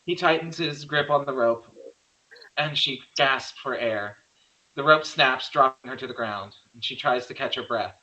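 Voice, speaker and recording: A man narrates a horror screenplay, reading scene headings and action plainly. His voice is medium in pitch with a bright, forceful edge. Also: moderate pace, lo-fi sound. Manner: plain stage-direction reading. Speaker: script narrator.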